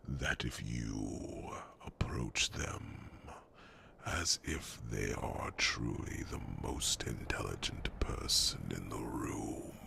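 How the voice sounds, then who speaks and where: A man voicing a wise, grave, fatherly old dragon in a slow, deep, gravelly half-whisper for an ASMR fantasy roleplay. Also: exaggerated voice. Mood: gentle, wise. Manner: slow, deliberate, murmuring pauses.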